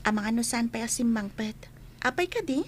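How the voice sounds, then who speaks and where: A woman plays a young female student in a radio drama. Her voice is high, and she comes across as kind, frank and playful. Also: expressive, brisk, slight background noise, close to an everyday voice. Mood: frank, teasing. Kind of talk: Filipino radio drama acting.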